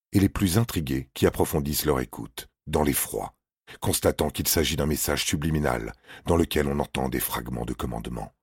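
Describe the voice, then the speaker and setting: A man's voice: a bass voice, husky, dry recording, clean studio sound. A man narrating scripted paranormal stories in a grave, suspenseful, dramatic manner. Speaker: narrator of a paranormal true-story podcast.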